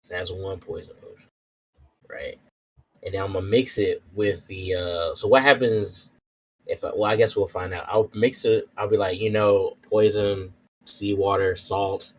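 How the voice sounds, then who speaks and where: Deep-voiced man thinking aloud, noisy recording. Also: steady tone, normal speed. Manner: thinks aloud, slangy, repeats himself. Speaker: tabletop roleplay player. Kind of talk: casual game chat.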